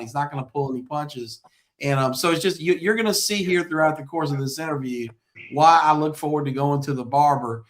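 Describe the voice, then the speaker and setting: A middle-aged man with a slightly high voice hosts a local interview show. He talks conversationally and is effusive and affirming toward his guest. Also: a little hiss or hum. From small-town Louisiana, United States.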